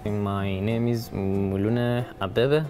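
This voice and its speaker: A man from Ethiopia, a student, talking slowly and searching for his words. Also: fairly low pitch, steady tone, mellow, faint music behind. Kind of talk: interview comments.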